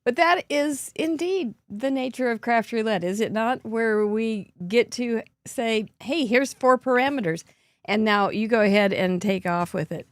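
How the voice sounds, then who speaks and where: A hoarse woman in middle age hosts a casual show-and-tell with a chatty, upbeat manner and frequent laughs. Her voice sits a little high. She is recorded close up with a dry sound. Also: measured pace, no echo. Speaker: host.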